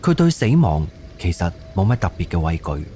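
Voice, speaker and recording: Mellow, low-voiced male Cantonese narrator. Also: sing-song, fairly quick, a little hiss or hum. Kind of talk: scripted story narration.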